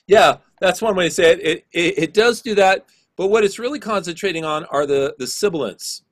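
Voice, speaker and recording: A middle-aged man with a low voice teaching an online class over a video call, explaining casually with many fillers. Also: moderate pace.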